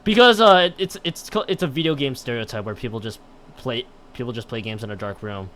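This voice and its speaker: A man's voice: mid-range voice, a little fast, a bit bright, a little hiss or hum. A man commentating on a game stream and reading game text aloud in a rambling way, often stumbling and correcting himself. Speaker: live streamer.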